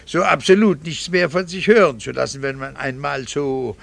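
High-pitched, creaky sardonic doctor. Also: male voice, steady tone, normal speed, fairly bright tone. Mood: offhand, sardonic. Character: brusque, sardonic married doctor and embittered father. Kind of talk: 1960s German radio play acting.

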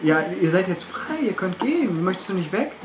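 In a roomy, noisy recording, a woman speaks quickly and casually as a tabletop game player. Her voice sits a little low for a woman. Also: sing-song. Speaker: tabletop role-playing player. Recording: echoey room with clear noise.

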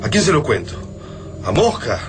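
An Argentine actor playing a bitter, defiant survivor in a radio drama, blunt and exasperated in colloquial speech. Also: male voice, medium pitch, very animated, moderate pace, music in the background, close to an everyday voice. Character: bitter, defiant male survivor longing for family. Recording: noisy radio drama soundtrack with hum.

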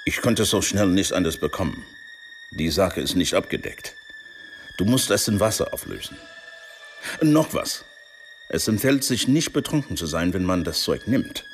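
Low-voiced, lively, worldly man. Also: moderate pace, long pauses, faint music behind. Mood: dry, knowing. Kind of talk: radio play acting.

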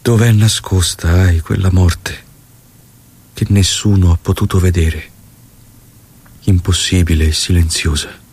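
An actor playing a doomed revolutionary leader, reciting poetically and deliberately in a solemn manner. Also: male voice, deep voice, steady tone, a little mellow, husky, full character voice. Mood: grave, lyrical.

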